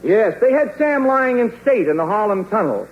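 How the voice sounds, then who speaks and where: A man spinning comic yarns in a 1940s radio comedy. His high voice bounces with lively swings. The old broadcast recording sounds thin and tinny. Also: moderate pace, very noisy. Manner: cheerful, theatrical storytelling.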